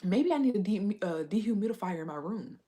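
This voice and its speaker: Chatty woman with a lively husky voice. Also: mid-range voice, fairly quick. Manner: chatty, rambling, reads viewer comments aloud. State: a bit stuffy from a cold. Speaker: livestream host. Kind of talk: casual livestream chat.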